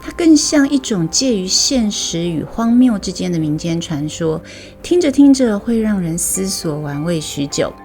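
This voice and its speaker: Casting: female Taiwanese story host, scripted narration, mid-low pitch for a woman, music underneath. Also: very animated, moderate pace, dark tone. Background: music bed.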